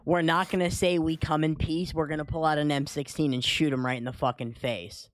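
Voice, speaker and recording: A man's voice: on the high side, level tone, brisk, dry recording. A man chatting casually as a stream host, informal and emphatic. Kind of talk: casual chat.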